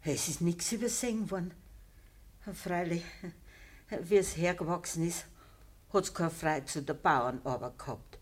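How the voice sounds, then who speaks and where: A woman playing a dying, middle-aged peasant mother in a radio play, humble and sorrowful, speaking halting Bavarian dialect in a weak voice. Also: fairly low pitch. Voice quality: husky, weak. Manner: halting, deferential.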